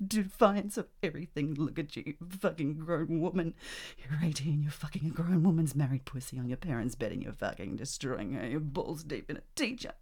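A woman playing a lustful married teacher in an intimate roleplay, moving between husky whispers and loud breathy cries. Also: deep voice, full character voice. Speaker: voice actor in an adult audio roleplay.

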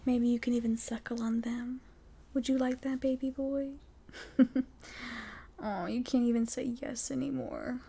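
Woman, whispered roleplay voice, teasing and coaxing, mellow with a gravelly edge. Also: a little high, steady tone, normal speed. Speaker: audio roleplay performer.

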